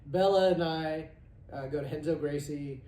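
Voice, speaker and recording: A man's voice: fairly high pitch, fairly even intonation, normal speed, mellow. A man, hosting a podcast in a jokey, rambling manner with lots of restarts. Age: 40s. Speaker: podcast host. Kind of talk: casual podcast chat. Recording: echoey room, mic at a distance, faint noise.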